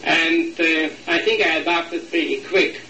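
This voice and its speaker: An older man from Latvia, speaking English with a Latvian accent, recalls his past in an oral history interview, pausing and searching for words. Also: fairly high pitch, fairly even intonation, bright, forceful tone, reverberant, distant mic, a little hiss or hum, rough recording. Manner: halting, searching for words, slight non-native grammar.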